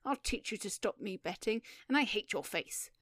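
Woman as a belligerent, flippant gentleman gambler. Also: mid-range voice, lively intonation, moderate pace, no room sound, clean studio sound. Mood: defiant, angry.

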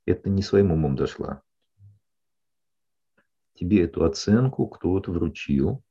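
A male psychologist calmly explaining ideas to a client in a session, often checking in with short questions. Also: sits low, a little slow, breathy edge, dull audio. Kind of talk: counseling session.

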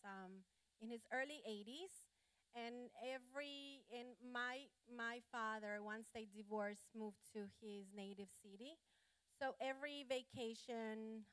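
A woman from Colombia, a church guest, giving her personal testimony hesitantly with many pauses. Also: a little high, fairly bright tone, clean tone, dry recording. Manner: hesitant, many ums and restarts.

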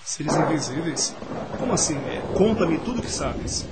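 A man's voice: a little high, animated, normal speed, heavy background noise, close to an everyday voice. A man acting in a radio drama as an eager, curious nineteenth-century French nobleman, with formal old-fashioned diction.

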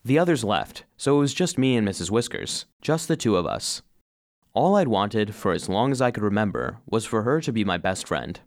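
A man's voice: fairly low pitch, big pitch swings, moderate pace. A man playing an earnest, eager cleaning robot who narrates his own story expressively in a children's audio drama. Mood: excited, hushed.

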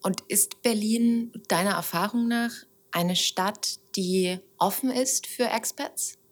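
A woman with a medium-pitched voice hosts a casual interview. She speaks informally, putting questions to her guest and giving short acknowledgements as she listens. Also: takes it slowly. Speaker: podcast host interviewing a guest.

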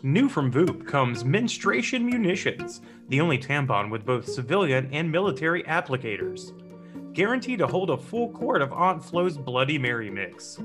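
Guy doing a fake ad voice, bouncy pitch, guitar music under it. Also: big pitch swings, moderate pace. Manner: salesy, pitchman delivery. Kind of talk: mock commercial announcer.

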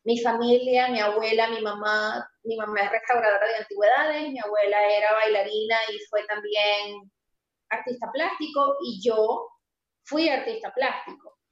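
A high-pitched woman talks through a relaxed interview, lively and emphatic. She leans on key words by slowing down and laughs readily. The sound is roomy and a little dull. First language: Spanish. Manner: animated and emphatic, stressing points slowly, laughs easily. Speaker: interview guest, pastry entrepreneur. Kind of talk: casual conversational interview.

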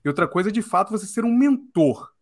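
A Brazilian man hosting a casual podcast discussion, talking in an emphatic, opinionated way and often tagging his points with a quick question. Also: high voice, expressive. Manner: opinionated, emphatic, keeps asking "né?".

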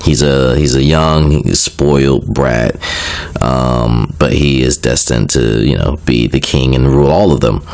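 A man's voice: a bass voice, measured pace, gravelly, darkish tone. A young man getting over a cold, sounding a little nasal, casually explaining video game lore with lots of fillers, asides and self-corrections. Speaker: video creator.

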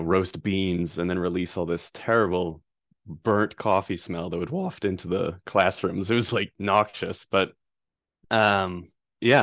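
Low-voiced man telling stories. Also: no echo, clean studio sound. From Rhode Island, USA. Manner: relaxed storytelling with frequent fillers. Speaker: guest, privacy attorney. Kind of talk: casual interview answers.

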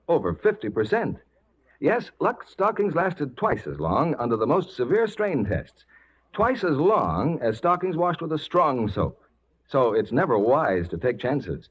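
A man working as announcer, delivering scripted 1940s radio advertising patter. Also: mid-range voice. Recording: muffled, slightly noisy 1940s radio recording.

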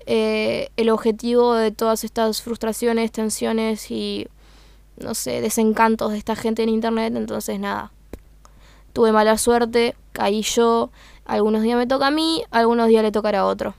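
A woman's voice: on the high side, little pitch movement, moderate pace, vocal fry, darkish tone, clear, resonant tone, dry recording. A young woman with a Uruguayan accent, talking through her thoughts in a casual, hesitant monologue full of fillers. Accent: Uruguayan Spanish. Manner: hesitant, searching for words with many fillers. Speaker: meme page creator.

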